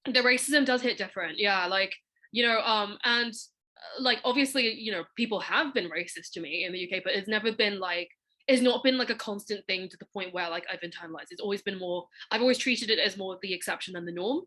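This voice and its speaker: A woman's voice: mid-range voice, brisk, pushed and bright. A young woman from Hong Kong hosting a casual chat, rambling with lots of "like" and "you know". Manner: chatty, fills with "like" and "you know". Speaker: podcast host. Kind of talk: casual conversation.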